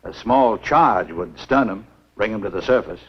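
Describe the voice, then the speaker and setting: An actor playing a grave, reasoning small-town American doctor with a husky voice in a 1950s horror film. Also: male voice, on the low side, animated, moderate pace. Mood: pondering. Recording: dull 1950s film soundtrack.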